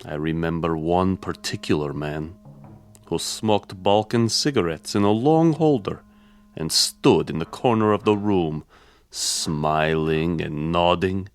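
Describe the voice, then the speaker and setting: An actor playing a weary, defiant former major in a radio drama, speaking in a terse, grave and bitter way. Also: male voice, deep voice, slow. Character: weary, defiant male ex-major, escaped political prisoner.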